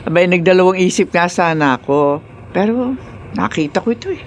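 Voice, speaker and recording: A woman's voice: fairly low pitch, animated, a little fast, slight background noise, lightly acted. An actress with a Filipino accent playing a caring but bossy, practical grandmother in a radio drama. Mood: chatty, cheerful.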